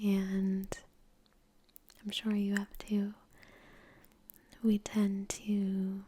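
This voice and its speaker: A woman guides a meditation in a soft, breathy whisper. Her delivery is very slow and soothing, with long pauses. The tone is dark and mellow and stays nearly flat, right up close to the microphone. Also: mid-range voice, slight background noise. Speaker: ASMR creator and meditation guide. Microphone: close microphone in front of the face.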